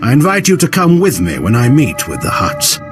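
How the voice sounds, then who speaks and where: Brief: arrogant military admiral, authoritative and formal, very animated intonation over game music. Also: male voice, mid-range voice, moderate pace. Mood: cool authority. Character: stern, haughty Imperial admiral, formal and commanding. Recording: loud game music behind voice.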